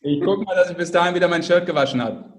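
A man's voice: high voice, fast. A man co-hosting a sports podcast in casual banter, teasing with dry sarcasm.

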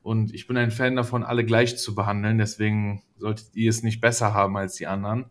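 A man's voice: fairly low pitch, flat delivery, fairly quick. A man hosting a podcast in casual chat, playful and teasing, laughing often. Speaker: podcast host.

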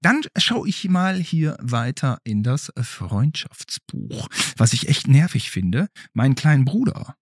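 A man's voice: medium pitch, sing-song, slow, few pauses, slightly rough, dry recording, clean studio sound. A man hosting a podcast, chatting casually in an interview with a child guest. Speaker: podcast host.

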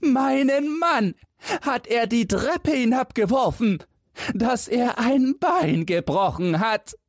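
A man voicing an upset, scolding wife in a storybook reading, with a shrill and indignant manner. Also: expressive, slow, dry recording, clean studio sound, heavily acted. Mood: outraged.

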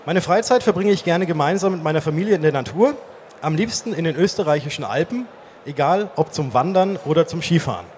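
Guy hosting a casual stage chat, voice a bit high, slight noise behind him. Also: lively intonation, a little fast, darkish tone. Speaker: interview host. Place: live stage at a trade fair. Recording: faint background noise, live event.

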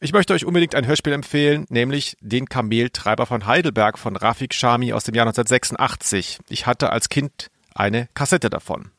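A man co-hosts a podcast. He chats casually and reads out listener mail in a mid-pitched voice. The sound is dry, with very little room. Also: fairly quick, few pauses, fairly bright tone, no room sound. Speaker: podcast co-host.

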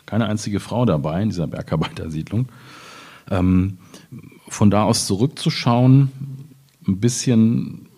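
Middle-aged male poet, very low husky voice, reflective and halting in literary discussion. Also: mellow. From Ruhr area, Germany. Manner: thoughtful, halting, searching for words while speaking. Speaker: poet discussing his work. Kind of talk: reflective literary panel talk.

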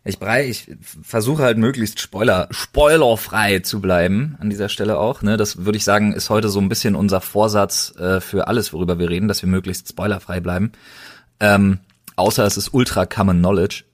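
A man's voice: on the low side, fairly even intonation, moderate pace. A young man hosting a podcast in a casual, chatty style. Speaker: podcast host.

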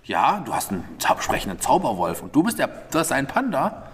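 Lively, encouraging man in an echoey hall. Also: mid-range voice, brisk. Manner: encouraging, echoes back the children's answers. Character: cheerful head of a wizard school. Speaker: host playing head of a magic school. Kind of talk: improvised interview with children in an audio play. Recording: echoey hall, faint background noise.

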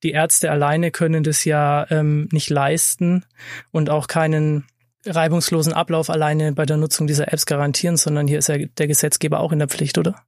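Polite, somewhat high-voiced male host. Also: flat delivery, normal speed, darkish tone, dry recording. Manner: polite, formal. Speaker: podcast host, interviewer. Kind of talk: scripted interview questions. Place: company sound studio. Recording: dry studio sound.

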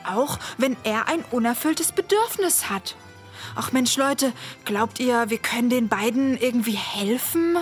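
A woman's voice: fairly high pitch, measured pace, faint music behind, natural voice. A woman playing a caring, kindly young friend in a children's audio play, sympathetic and earnest.

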